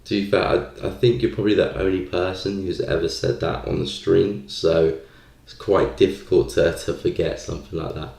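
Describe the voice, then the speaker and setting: A man's voice: sits low, steady tone, moderate pace, creaky, darkish tone. A man hosting a live stream, giving casual market commentary and thinking aloud in a hesitant way. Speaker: live stream trading host. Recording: roomy, echoey sound.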